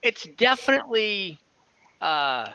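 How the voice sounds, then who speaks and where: A man's voice: high-pitched, big pitch swings, takes it slowly, sharp tone, clean tone, muffled recording. A man narrating an improvised tabletop game casually as game master, thinking aloud and correcting himself, on a close mic over an online call.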